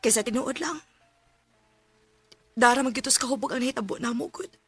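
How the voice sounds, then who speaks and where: A woman's voice: a little high, brisk, fairly bright tone, husky, dry recording, faint music behind. A woman with a Cebuano accent playing a remorseful young single mother in a radio drama. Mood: subdued. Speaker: former lover returned home.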